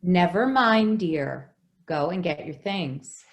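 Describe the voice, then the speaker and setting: Woman playing a slow, expressive, protective housekeeper. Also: on the low side, dull audio. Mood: soothing, evasive. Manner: hesitant, soothing, tender. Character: gentle, anxious, protective housekeeper looking after a child. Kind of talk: old-time radio drama acting.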